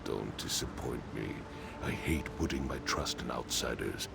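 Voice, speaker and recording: A man's voice: a bass voice, measured pace, creaky, heavy background noise, full character voice. A man playing a hard, vengeful orc chief in a fantasy video game, terse and commanding. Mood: stern, commanding. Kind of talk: video game character dialogue. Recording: game audio with music behind.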